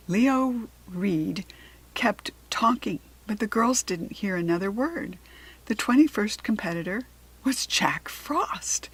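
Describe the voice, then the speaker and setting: An American woman narrates a children's storybook. Her voice is mid-pitched and somewhat husky, with deliberate, expressive, dramatic phrasing. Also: poor audio. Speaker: storybook reader.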